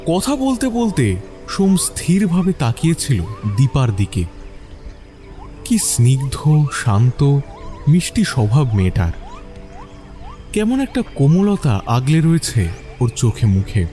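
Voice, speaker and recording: A man narrating a scripted mystery story in a dramatic, expressive style. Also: a little high, slow pace, long pauses, soft background music.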